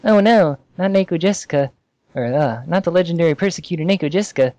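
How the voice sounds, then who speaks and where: A man voicing a flustered, earnest young defense lawyer, somewhat halting, in an amateur reading of fan fiction. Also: fairly high pitch, lively intonation, darkish tone, no echo, dull audio, lightly acted. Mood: alarmed.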